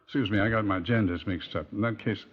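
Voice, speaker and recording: An actor playing a shrewd, ruminative police superintendent with a pompously droll manner, in an old radio crime drama. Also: male voice, on the low side, fast talker, lightly acted. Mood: musing, pompous. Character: shrewd, musing senior police superintendent, fond of quotations. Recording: muffled, noisy 1950s radio recording.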